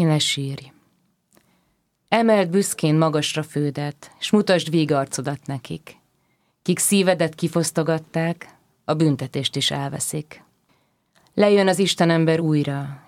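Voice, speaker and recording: A reciter delivering religious verse in a solemn, measured declamation. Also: mid-range voice, no echo. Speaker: poetry reciter.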